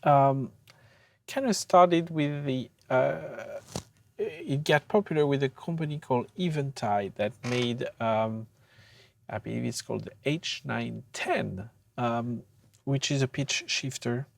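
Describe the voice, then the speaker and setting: A tutor giving an informal software demonstration, speaking in a hesitant, halting way with frequent pauses. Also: mid-range voice, lively intonation, creaky. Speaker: audio mixing tutor.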